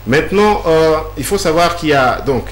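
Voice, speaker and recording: A man's voice: fairly high pitch, lively intonation, moderate pace. Hesitant man explaining in an echoey room. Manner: hesitant, many fillers and repeated words. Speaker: guest. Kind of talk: explanatory interview answers. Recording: echoey room with faint hum.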